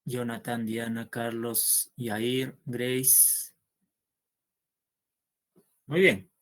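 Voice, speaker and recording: Male math teacher, unhurried and patient, talks students through each step. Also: mid-range voice, little pitch movement, fairly bright tone. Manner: slow and patient, checks understanding with "¿no?". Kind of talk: online math class, solving problems aloud.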